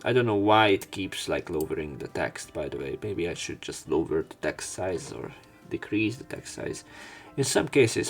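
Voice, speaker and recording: A man with a fairly low, creaky voice narrates a visual novel for a let's play. He reads the text aloud and slips into casual, wry asides, halting as he goes. Faint music plays behind him. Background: faint game music.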